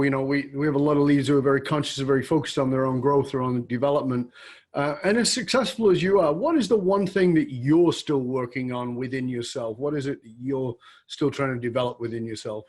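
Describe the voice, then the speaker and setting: A man hosting a podcast interview who reacts with enthusiasm and keeps up a stream of short affirmations. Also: medium pitch. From outside the United States. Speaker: podcast host. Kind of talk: interview host, conversational.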